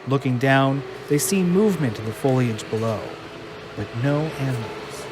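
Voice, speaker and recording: A man's voice: medium pitch, animated, normal speed, noisy background. A man narrating a scripted audio drama in a dramatic, descriptive way.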